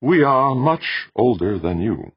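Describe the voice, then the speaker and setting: A man voicing an ancient, calm, self-aware alien machine in a sweet tenor, with measured, formal, unemotional speech for an audiobook story. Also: mid-range voice, slightly rough, no echo, muffled recording, voice pushed up, full character voice. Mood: calm, solemn.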